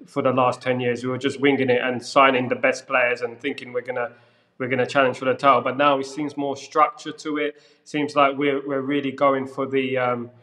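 A man, a guest giving measured, plain-spoken football views over a video call. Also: medium pitch, fairly bright tone. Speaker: guest pundit. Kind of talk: casual football chat. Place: room with brick wall, indoors. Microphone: podcast mic on video call.

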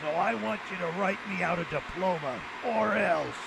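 A man in a comic dub playing a taunting, swaggering criminal supervillain with a gravelly voice. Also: sits high, normal speed. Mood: demanding. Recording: very noisy amateur recording.

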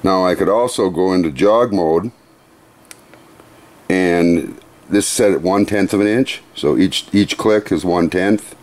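Middle-aged guy in a workshop, real low voice, takes his time explaining each button. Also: darkish tone. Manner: unhurried, methodical, plain-spoken with small restarts. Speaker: CNC machine demonstrator. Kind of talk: hands-on equipment demonstration. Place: workshop beside a CNC router.

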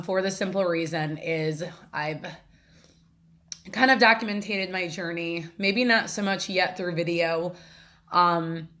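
A woman in her thirties with a slightly low voice records a casual vlog on a phone held at arm's length in her kitchen. She rambles and apologizes, restarting sentences and filling pauses with "um." She sounds a bit congested from a sinus infection. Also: a bit bright. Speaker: vlogger. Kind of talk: casual talking-to-camera vlog. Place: home kitchen, indoors. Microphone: phone camera at arm's length.

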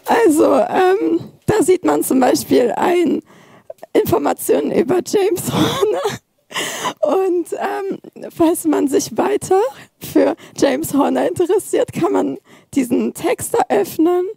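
A hoarse teenage girl giving a halting live demo on a handheld mic at a youth event. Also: very high voice, expressive, dark tone, dry recording. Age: teens. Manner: hesitant, many fillers. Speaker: student presenter. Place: event hall, audience present.

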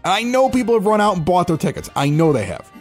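A man's voice: sits high, very animated, quick pace, darkish tone, soft background music. A man hosting a sports podcast, talking in a casual, rambling, incredulous way. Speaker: podcast host. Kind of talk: casual chat. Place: home bar.

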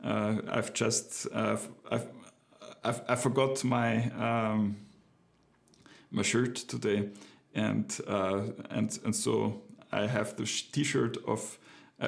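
Man with a gravelly voice, halting speech, home office, desk camera. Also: mid-range voice. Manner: hesitant, many fillers and restarts. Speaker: business owner reviewing a book. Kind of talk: casual unscripted talk to camera. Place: home office with bookshelves, indoors.

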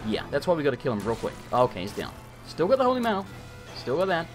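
A man commentating a live gameplay stream, hoarse and losing his voice, talking frantically and swearing often. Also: fairly high pitch, wildly swooping pitch, fast, soft background music. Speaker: gaming streamer.

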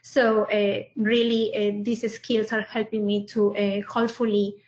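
Hesitant woman from Peru, flat voice. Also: mid-range voice, a little slow. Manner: hesitant, many fillers. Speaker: panelist. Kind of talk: self-introduction on a webinar. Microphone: video call. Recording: muffled audio in a roomy space.

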